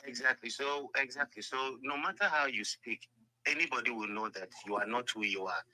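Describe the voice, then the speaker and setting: A man's voice: mid-range voice, fairly quick, sharp tone, low-quality recording. A man speaking earnestly in a played clip, lecturing a little. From Ghana. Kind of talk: talking in a clip played in the debate.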